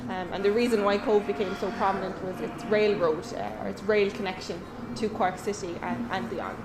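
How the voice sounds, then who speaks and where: A woman's voice: mid-range voice, fairly even intonation, normal speed, over music. A woman explaining things informatively in a documentary interview. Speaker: local history interviewee. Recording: echoey, noisy room ambience.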